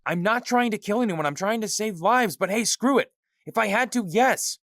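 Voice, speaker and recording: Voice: mid-range voice, lively intonation, normal speed, fairly bright tone, no echo, studio quality. A performer playing a resentful, hot-headed young male ranger in a fan audio drama who lashes out at others. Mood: defiant. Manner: resentful, lashing out. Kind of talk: amateur audio drama acting.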